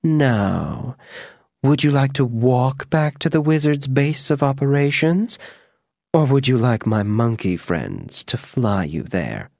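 A sly old storybook witch who speaks slowly in a hushed, silky voice, as a male narrator puts her on. Her lines are quiet and unhurried, close and dry. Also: mid-range voice, animated, vocal fry, dry recording, full character voice.

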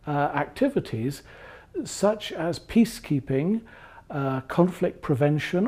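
Husky, lively-voiced academic man. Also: a little high. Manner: measured, explanatory, with frequent "uh" pauses. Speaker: philosophy researcher. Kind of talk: explaining his research to camera.

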